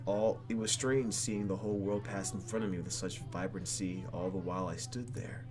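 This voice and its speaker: A man's voice: low voice, steady tone, moderate pace. A man reading a video game's story aloud in a playful, theatrical way, breaking off for asides as he hosts. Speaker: let's play host reading a game aloud. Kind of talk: expressive reading of game narration with asides.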